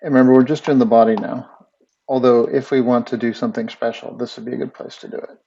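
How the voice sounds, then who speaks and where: Gravelly man talking through an animation tutorial. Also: medium pitch, fast, highs cut off. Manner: relaxed, thinking aloud while working. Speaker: animation instructor. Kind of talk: screen-recorded software tutorial.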